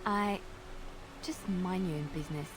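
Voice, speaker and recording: An actress playing a flustered young cleric in a video game, defensive and embarrassed. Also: female voice, fairly low pitch, a little slow, noisy background, lightly acted. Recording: game audio with noticeable ambience.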